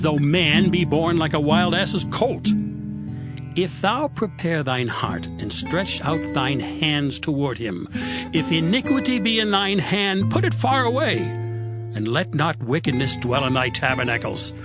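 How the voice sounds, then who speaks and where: An actor playing a stern, self-assured accuser in a dramatized scripture reading, admonishing solemnly with rhetorical questions and exhortation. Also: male voice, on the high side, very animated, a little slow. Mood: challenging. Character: Zophar, stern self-assured accuser preaching repentance to a sufferer. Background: guitar music.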